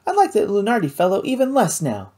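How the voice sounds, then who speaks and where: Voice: mid-range voice, wildly swooping pitch, fast talker, mellow, husky, pitched above the performer's own voice. A storyteller playing an eager, rich young woman. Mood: indignant. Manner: earnest, indignant at unfairness. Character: wealthy, eager, well-meaning young woman.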